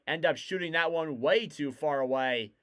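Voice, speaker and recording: A young man doing live play-by-play for a hockey stream, excitable and rising to shouts on big plays, his voice wearing out. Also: on the high side, moderate pace, clean tone. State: losing his voice. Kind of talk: play-by-play commentary.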